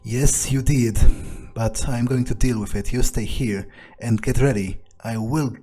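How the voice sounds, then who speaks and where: A man role-playing a jaded, domineering, foul-mouthed male vampire in a video game, thinking aloud in a contemptuous, deliberate way. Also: medium pitch, dark tone, natural voice. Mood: irritated, contemptuous. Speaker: gamer role-playing in character. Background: faint game music.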